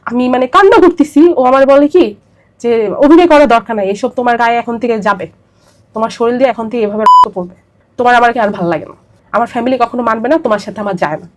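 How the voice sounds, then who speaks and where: A young woman with a Bangladeshi accent shares a personal account in an interview. Her voice sits fairly high and she talks quickly, repeating herself for emphasis as the story pours out. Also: very noisy. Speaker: interview guest.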